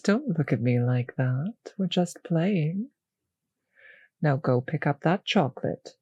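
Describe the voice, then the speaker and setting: A woman playing a cruel, possessive vampire mistress in an intimate audio roleplay, speaking in a slow, teasing, condescending purr with breathy, whispered phrases. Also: low-pitched, mellow, no room sound, highs cut off, heavily acted. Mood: mocking, playful. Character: cruel, possessive, seductive female vampire mistress toying with servant.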